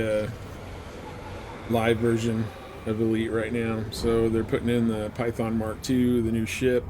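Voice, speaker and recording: A man chatting casually and thinking aloud in a rambling, laid-back way as he live-streams a game. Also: on the low side. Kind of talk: casual live stream chat over gameplay. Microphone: headset.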